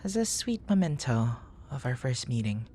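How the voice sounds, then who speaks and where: A man playing a charming, smitten young nerd in an ASMR boyfriend roleplay, murmuring softly in courtly, poetic phrases. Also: mid-range voice, very animated, normal speed, slight background noise. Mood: tender, teasing.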